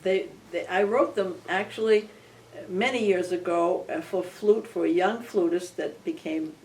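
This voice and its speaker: An older woman, a composer whose first language was Armenian, talking thoughtfully in an interview with frequent restarts and soft laughs. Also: lowish voice, unhurried. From Belmont area, United States. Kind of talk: casual reflective interview. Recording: recorded from some distance.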